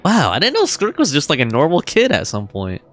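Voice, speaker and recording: A woman's voice: deep voice, wildly swooping pitch, a little fast, soft background music. A woman doing casual live game commentary, slangy and wondering aloud as she reacts. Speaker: game streamer.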